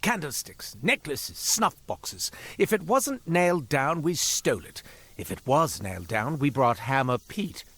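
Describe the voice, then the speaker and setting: An actor playing a beleaguered, sardonic ex-thief shopkeeper in a video game, rambling theatrically with exasperated sarcasm. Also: male voice, on the high side, sing-song, measured pace, a bit bright, full character voice. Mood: wistful boasting. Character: beleaguered, sardonic, cursed former thief turned quartermaster shopkeeper. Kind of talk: theatrical scripted character dialogue.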